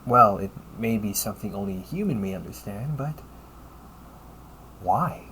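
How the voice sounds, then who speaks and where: A man playing a polite, caring A.I. companion in an audio roleplay, speaking in measured, formal phrases and often checking with a questioning tag. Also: fairly low pitch, fairly bright tone, a little hiss or hum, rough recording. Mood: gently questioning. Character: polite, caring male A.I. companion, gently logical and encouraging. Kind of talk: audio roleplay addressed to the listener.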